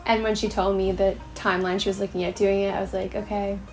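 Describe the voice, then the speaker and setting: American woman, 26, easygoing chat to camera, mid-pitch, music playing clearly behind her. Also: flat delivery, quick pace. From Massachusetts, United States. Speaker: vlog roommate.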